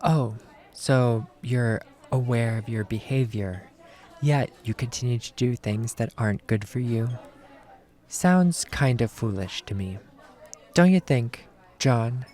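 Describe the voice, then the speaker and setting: A man's voice: medium pitch, slow, dark tone, some chatter behind, lightly acted. A man playing a short-tempered, sarcastic bounty hunter and ex-cop, blunt and dismissive, in an acted film script read.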